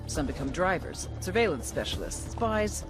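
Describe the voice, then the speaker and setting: Low-voiced, sardonic American female agent. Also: sing-song, normal speed, music in the background. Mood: wry briefing. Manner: dry, sardonic, profane. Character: hard-edged female intelligence agent, wry, cynical, menacing. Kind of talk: scripted game cutscene narration and dialogue.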